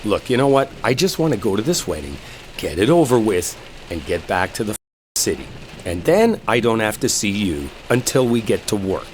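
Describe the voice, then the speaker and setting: Man acting an exasperated low-voiced sarcastic coworker. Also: very animated, moderate pace. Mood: curt, fed up. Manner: irritated, sarcastic, swearing, argumentative. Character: exasperated, sarcastic male coworker arguing while driving. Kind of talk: enemies-to-lovers roleplay audio acting. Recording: rain and car noise behind. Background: rain storm and vehicle ambience.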